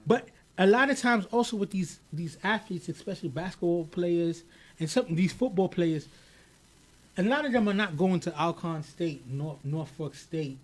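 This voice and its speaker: A man co-hosts a podcast in a casual, animated way. His high voice pushes hard on key points, and he often repeats a word before he gets going. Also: moderate pace. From United States, of Haitian parents. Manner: animated, emphatic, repeats words when starting. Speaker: podcast co-host.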